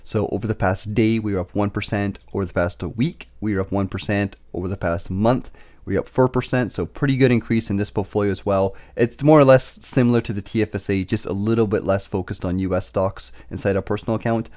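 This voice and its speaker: A young man talks quickly through a casual vlog update, his voice raspy from a recent illness. The tone sits low and dark, with lots of fillers between his points. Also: fairly even intonation. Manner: quick, filler-heavy talk. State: recovering from illness, slight cough. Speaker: investing YouTuber. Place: bedroom, indoors. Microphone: desk microphone on boom arm.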